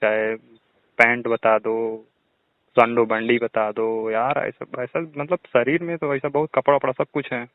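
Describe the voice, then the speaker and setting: A man's voice: medium pitch, fairly even intonation, unhurried, no echo. A young man with a Bhojpuri accent chatting casually and telling stories in a teasing, boastful way. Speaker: student. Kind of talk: casual phone chat, telling anecdotes. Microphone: phone. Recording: narrow, tinny phone call recording.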